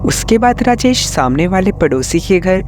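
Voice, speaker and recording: Guy narrating a story, voice a bit high, music behind. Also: very animated, normal speed. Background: background music.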